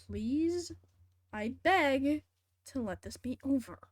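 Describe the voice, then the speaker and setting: A woman's voice: high-pitched, lively intonation, slow pace, no room sound. A young woman streaming a game, chatting casually in a blunt, sweary, complaining way. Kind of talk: casual livestream chat and game commentary. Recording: music behind the voice. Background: game music.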